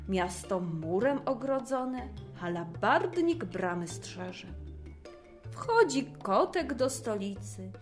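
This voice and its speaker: A woman narrating a rhymed fairy tale for children in an expressive storytelling manner. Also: medium pitch.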